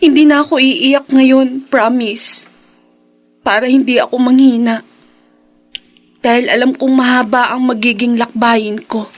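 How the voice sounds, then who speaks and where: Woman playing a high-voiced, earnest young daughter. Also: fairly even intonation, a little slow, long pauses, soft background music, natural voice. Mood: resolute. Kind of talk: radio drama acting.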